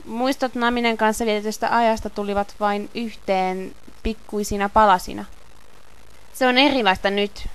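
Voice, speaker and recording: A woman's voice: mid-range voice, steady tone, a bit bright, clear, resonant tone, lightly acted. A woman playing an earnest, stubborn teenage boy hero in an amateur video game fandub. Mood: wistful, puzzled.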